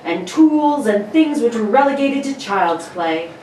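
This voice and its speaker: A woman with a fairly high voice narrates a radio play as announcer. Her phrasing is theatrical and emphatic, captured a little off mic in a roomy space with faint noise. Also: animated, unhurried, darkish tone, far from the mic.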